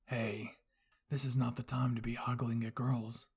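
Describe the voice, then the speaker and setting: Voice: mid-range voice, flat delivery, a little slow, creaky, breathy edge, full character voice. A narrator voicing a stern, curt, menacing male soldier in an anime story reading. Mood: cold warning.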